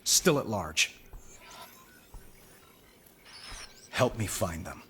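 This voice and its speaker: Wry, animated superhero inventor. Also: male voice, fairly low pitch, unhurried, background noise. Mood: serious. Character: adult male superhero inventor, wry, driven boss. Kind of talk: video game voice acting.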